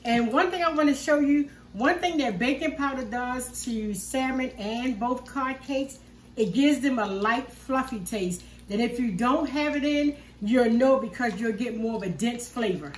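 A woman's voice: fairly high pitch, a little slow. An older woman hosting a home cooking video, explaining each step in a homey, easygoing way from her kitchen. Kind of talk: casual cooking demonstration. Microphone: far camera on the counter. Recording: roomy kitchen sound from a distance.

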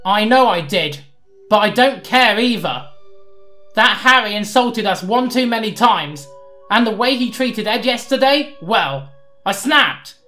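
A woman's voice: fairly high pitch, lively intonation, a little slow, bright, forceful tone, faint music behind. Woman doing a raging male engine. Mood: defiant. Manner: screaming threats. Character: furious male engine, explosive, vengeful.

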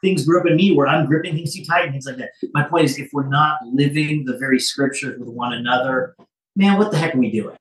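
A man's voice: medium pitch, a little fast, a bit bright. Echoey, distant middle-aged man, earnest. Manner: earnest, emphatic. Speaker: studio guest. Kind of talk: casual, earnest chat. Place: indoor office with green walls. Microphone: phone across the room on video call. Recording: echoey room, far from the phone mic.

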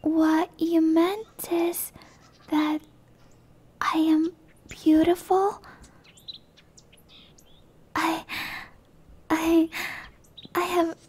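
A woman playing a shy, gentle dryad monster in an ASMR roleplay, in a soft breathy whisper with hesitant, stammering, apologetic delivery. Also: extremely high pitch, little pitch movement, drawn-out words, pauses often, heavily acted. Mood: flustered, bashful.

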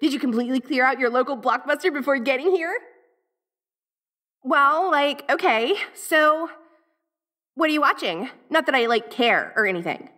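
Woman performer, tsundere eighties ghost girl, American valley-girl accent, high-pitched, bright forward tone, dorky, hesitant, roleplay audio. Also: animated, moderate pace, long pauses. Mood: curious, incredulous. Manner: gushing, hesitant, slangy valley-girl talk. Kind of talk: audio roleplay acting, speaking directly to the listener.

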